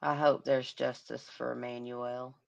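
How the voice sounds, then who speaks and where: Hoarse, gravelly woman livestream host. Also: rumbling low, flat delivery, measured pace, highs cut off. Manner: murmuring, sympathetic, hums to herself. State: hoarse, losing her voice. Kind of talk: casual livestream commentary on a crime case.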